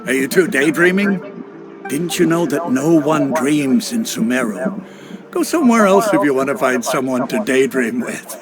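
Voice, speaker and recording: A man's voice: mid-range voice, lively intonation, normal speed, natural voice. A man voicing a blunt, salesmanlike produce seller at a market stall in an anime-style video game. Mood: scoffing. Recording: loud music behind.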